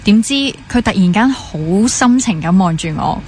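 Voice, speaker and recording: A chatty young woman played by an actress in a radio drama, speaking Hong Kong Cantonese with English words mixed in. She is pampered and keen to gossip about dates, in a mid-pitched voice over faint background noise. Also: lively intonation, normal speed, darkish tone, natural voice. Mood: animated gossiping. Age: young adult. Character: chatty young woman, dating-obsessed, pampered only child. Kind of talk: naturalistic radio drama acting.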